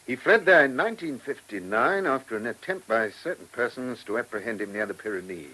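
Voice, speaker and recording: A man acting a brusque, sardonic chief inspector with a husky voice in a radio play. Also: mid-range voice, animated, fairly quick, bright, forceful tone, slight background noise, rough recording. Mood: measured, reporting. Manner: dry, sardonic, testy. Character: sardonic, brusque male police chief inspector on a murder case.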